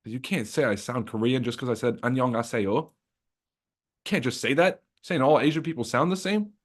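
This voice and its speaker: A man's voice: medium pitch, normal speed. Casual, joking male trading streamer. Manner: loose, joking, swears freely, talks to chat. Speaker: trading livestream host. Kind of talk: casual livestream chat.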